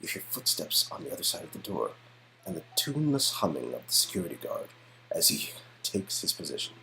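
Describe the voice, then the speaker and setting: A man reading expressive second-person narration for an interactive story game. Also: sits low, a little fast, creaky, soft background music, low-quality recording. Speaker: narrator and player character reader.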